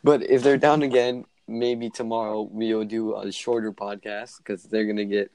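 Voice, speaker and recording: A man's voice: lowish voice, level tone, normal speed, a little mellow, slight background noise, low-quality recording. A teenage boy hosting a casual podcast with friends over voice chat, often stumbling over his words and restarting. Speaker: podcast host.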